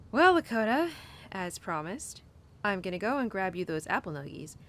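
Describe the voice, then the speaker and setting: A woman's voice: medium pitch, very animated, a little slow. A woman playing a young, animal-loving cowgirl who confides tenderly in her horse in an intimate, casual, rambling audio roleplay. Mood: playful, sassy. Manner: casual, rambling, sighing and giggly.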